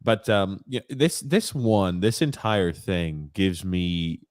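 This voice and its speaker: A man's voice: sits low, slow, darkish tone, no echo, studio quality. A man co-hosting a sports podcast, talking in a conversational, occasionally profane way as he banters casually.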